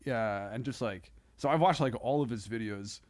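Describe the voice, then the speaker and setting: Man, medium pitch, casual podcast banter, comedian guest, halting, rambling, self-correcting. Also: darkish tone.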